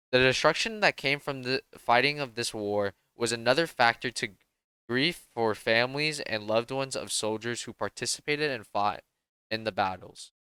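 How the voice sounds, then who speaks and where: A young man from Vietnam reads a school research presentation straight from his script and trips over a word here and there. His voice is bright and very crisp. The recording is dry and close. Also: mid-range voice, dry recording. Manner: reads steadily from a script, stumbles on words now and then. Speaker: student presenter.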